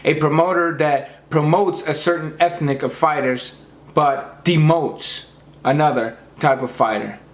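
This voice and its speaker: Hoarse guy ranting hard at the camera, kinda high voice, echoey room. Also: measured pace. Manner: emphatic, repeats "period", lectures the viewer. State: losing his voice. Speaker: boxing commentator. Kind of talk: opinionated rant to camera.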